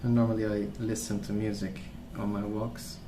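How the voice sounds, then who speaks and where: A man speaking softly as an ASMR host, rambling through stories in a hesitant, pausing, unhurried way. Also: fairly low pitch, level tone, background noise. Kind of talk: soft-spoken ASMR rambling.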